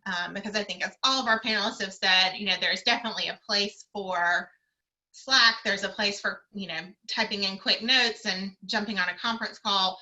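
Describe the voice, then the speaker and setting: Woman, career coach, very bright voice, chatty moderator, video call audio. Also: medium pitch, normal speed, poor audio. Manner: warm encouraging moderator, many fillers.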